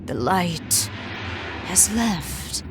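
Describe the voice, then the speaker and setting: Game villain spirit: solemn, archaic, seductively calm, deep for a woman, drawn-out delivery. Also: noisy background, exaggerated voice. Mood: cold, commanding. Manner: solemn, deliberate, archaic phrasing. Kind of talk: video game villain monologue.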